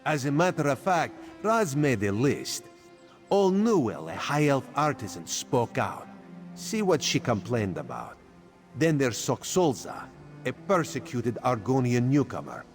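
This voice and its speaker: A man's voice: medium pitch, big pitch swings, measured pace. An actor playing a sly, playful cat-man spy in a video game who refers to himself in the third person, over faint game music. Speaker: spymaster quest giver. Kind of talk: video game character dialogue.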